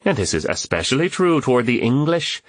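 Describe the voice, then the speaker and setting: An arch, witty woman as a male narrator plays her in an audiobook, quipping and playfully teasing. The voice is lifted above the narrator's usual pitch and moves up and down freely, in a dry recording. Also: sing-song, brisk, no echo, lightly acted. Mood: sly.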